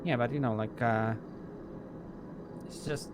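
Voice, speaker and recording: A man chatting casually in character during online roleplay, rambling and halting, with casual swearing. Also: mid-range voice, fairly even intonation. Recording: loud car engine noise behind.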